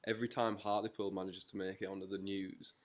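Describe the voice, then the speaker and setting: A man's voice: low-pitched, flat delivery, quick pace. A young man with a North East English accent, interviewing in a casual, slangy chat. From North East England.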